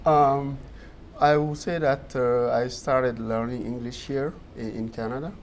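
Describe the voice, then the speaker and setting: A man in his thirties from Guinea, a native French speaker, answering interview questions in an earnest, measured way. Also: medium pitch, fairly even intonation, a little hiss or hum. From Guinea, West Africa. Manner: earnest, measured, laughs easily. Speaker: guest.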